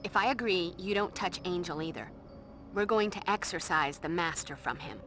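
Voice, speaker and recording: A woman's voice: on the low side, expressive, normal speed, fairly bright tone, soft background music. An actress playing a tough young female vampire slayer who talks in a dry, sarcastic, commanding way. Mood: wry. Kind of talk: video game voice acting.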